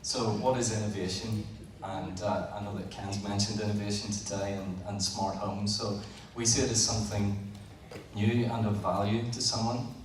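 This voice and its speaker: A Northern Irish man presents to an audience in a large hall, with a low, flat voice that hardly rises or falls. He sounds far from the mic, and the room's echo and background noise come through clearly. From Northern Ireland. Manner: plain, a little halting, with frequent "uh" and repeated words. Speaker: engineer presenting at an event. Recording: roomy, distant event recording with background noise.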